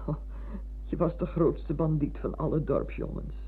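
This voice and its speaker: An actress playing a chatty, sentimental old retired schoolmistress in a radio play, halting and repeating herself. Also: female voice, low voice, big pitch swings, slight background noise. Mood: teasing reproach. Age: older. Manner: garrulous, halting with repetitions. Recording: muffled, lo-fi old radio recording.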